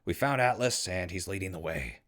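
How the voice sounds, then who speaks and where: A man playing an easygoing, friendly ghoul radio broadcaster in a post-apocalyptic audio drama, husky and gravelly, talking in a casual way. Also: low-pitched, expressive, a little fast, fairly bright tone. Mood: logging, upbeat. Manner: casual, conversational.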